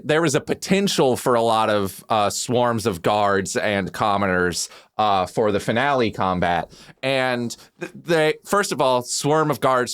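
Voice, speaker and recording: A podcast panelist chatting casually about tabletop games in an animated, emphatic way, airing gripes. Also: mid-range voice, smooth. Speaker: podcast panelist and game master. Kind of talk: casual tabletop gaming chat.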